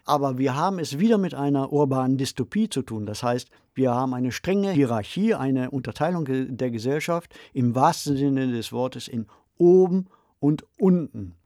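A man comments analytically as a podcast co-host. His voice is mid-pitched and mellow, recorded close and dry in a studio, with a conversational delivery. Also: lively intonation, moderate pace, no room sound.

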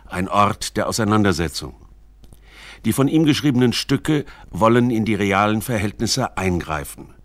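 A man's voice: lowish voice, no echo. A man reading scripted narration for a radio documentary. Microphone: close studio microphone.

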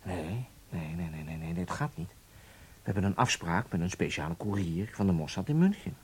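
An actor playing a calculating German undercover spy in a Dutch radio drama, explaining things deliberately and intimately to his partner. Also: sits low, animated, a little mellow, slight background noise. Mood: firm, secretive.

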